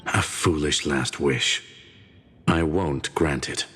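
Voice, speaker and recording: A man's voice: a bass voice, slow, bright, forceful tone, husky, soft background music, full character voice. An actor playing a cold, judgmental male interrogator in a video game, terse and grave. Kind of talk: dramatic video game voice acting. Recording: long reverb on the voice.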